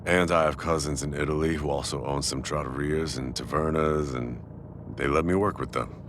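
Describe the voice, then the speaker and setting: A man playing a warm, teasing restaurant owner with a secret crush in a casual, intimate boyfriend audio roleplay. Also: rumbling low, fairly even intonation, normal speed, noisy background. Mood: earnest, relaxed.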